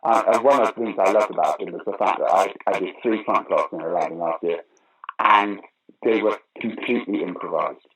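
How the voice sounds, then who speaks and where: A man's voice: deep voice, fairly quick, fairly bright tone, breathy edge, poor audio. An older man telling theatre anecdotes in an interview, with a theatrical, emphatic manner. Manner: theatrical, emphatic storyteller who acts out remarks. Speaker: interview guest, pantomime actor and former opera singer. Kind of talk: animated anecdotal interview talk.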